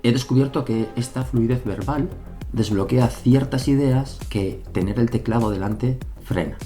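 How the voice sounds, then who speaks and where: A man with an accent from Spain, narrating his own game-development devlog in an earnest, measured way. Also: mid-range voice, warm tone. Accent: Spain Spanish. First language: Spanish. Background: background music.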